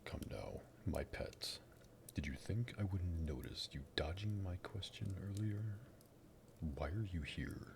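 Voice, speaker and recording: A man playing a sadistic, possessive vampire master in an ASMR roleplay, teasing and politely menacing, with a breathy, gravelly voice. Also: low-pitched, expressive, a little slow, noisy background, exaggerated voice. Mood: menacing, coaxing.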